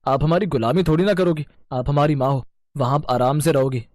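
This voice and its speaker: A man's voice: on the high side, a little fast, darkish tone, no room sound, studio quality, lightly acted. Man voicing placating, henpecked young husband. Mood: pleading. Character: young city husband, placating, dutiful but henpecked son.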